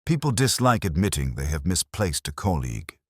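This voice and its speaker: Very deep-voiced man narrating a mystery. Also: sing-song, a little fast, slightly rough, no echo, clean studio sound. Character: world-weary, reflective male English detective inspector. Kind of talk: first-person scripted mystery narration.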